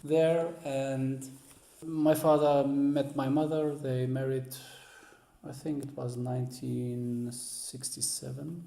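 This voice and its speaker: A relaxed, gravelly-voiced man speaks as an interview guest. He recounts family history hesitantly, often searching for the right word. The sound is roomy and echoey. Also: medium pitch, slow pace. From Lebanon. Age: 40s.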